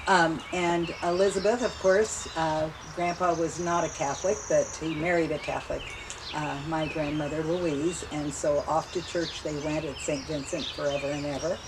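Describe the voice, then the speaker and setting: Older woman, low voice, reminiscing casually; outdoor insect noise behind. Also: steady tone, normal speed. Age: 70s. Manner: chatty, reminiscing, laughs easily. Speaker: interviewee. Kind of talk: family memories interview. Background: insects and birds.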